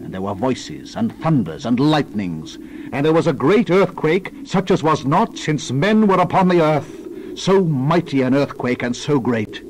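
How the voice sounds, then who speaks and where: A man giving a solemn, theatrical dramatized reading of scripture as a narrator, with deliberate emphasis. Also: a little high, animated, slight background noise, poor audio. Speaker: Bible narrator.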